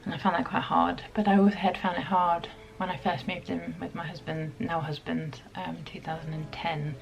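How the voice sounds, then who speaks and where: A woman in her thirties from the UK, chatting reflectively to camera in a home vlog, pausing often with ums. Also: fairly low pitch, level tone, creaky, faint music behind, low-quality recording. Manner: reflective, soft-spoken, many pauses and ums. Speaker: vlogger. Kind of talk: casual chat to camera.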